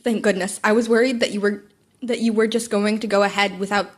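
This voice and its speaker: A woman's voice: mid-range voice, steady tone, brisk, lightly acted. A woman voicing a stern, exasperated male teacher, with blunt, incredulous questions, in a story reading. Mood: measured, concerned. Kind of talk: character dialogue in a fan fiction reading.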